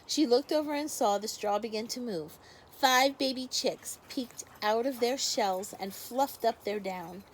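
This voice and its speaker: A woman's voice: on the high side, a little slow, a little hiss or hum, low-quality recording. A woman teacher speaking expressively to young children in a story read-aloud with casual asides. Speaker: first grade teacher.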